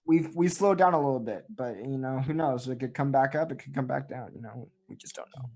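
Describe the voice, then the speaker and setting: A man from the United States hosting a casual talk show, with halting phrasing. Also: medium pitch, a little mellow.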